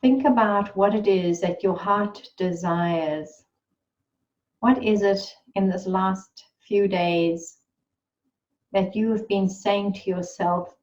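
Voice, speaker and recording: Woman, meditation guide, slow and soothing, lowish voice, dull lo-fi audio. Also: darkish tone. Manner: slow, soothing and unhurried, with long pauses.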